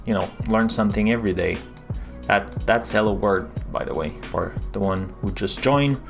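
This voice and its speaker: A man's voice: on the low side, normal speed, gravelly, soft background music. A man with a French accent, casually chatting through a live coding stream, rambling with lots of fillers and restarts.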